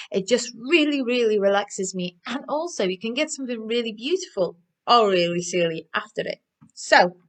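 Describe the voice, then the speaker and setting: A woman presenting stories and crafts to young children in an animated, encouraging way, often asking them questions. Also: medium pitch. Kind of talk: interactive children's storytelling and instruction.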